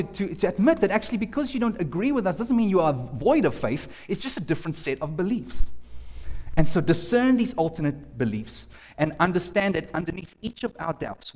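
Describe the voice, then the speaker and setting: A man's voice: high voice, darkish tone. A man preaching a sermon as pastor, earnest, often restarting and repeating words. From South Africa. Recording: long hall reverb.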